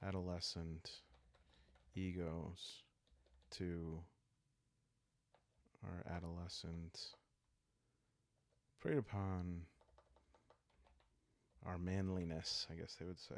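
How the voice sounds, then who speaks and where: A man speaking softly and calmly in ASMR style, improvising a story aloud as he hosts a solo roleplaying game, thinking out loud with long pauses. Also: low-pitched, creaky, no room sound. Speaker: host playing a solo journaling roleplaying game.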